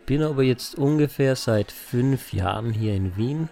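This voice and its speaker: A man's voice: medium pitch, expressive, measured pace, gravelly, warm tone. A man from South Tyrol with a South Tyrolean accent, chatting casually as a podcast co-host. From South Tyrol, Italy.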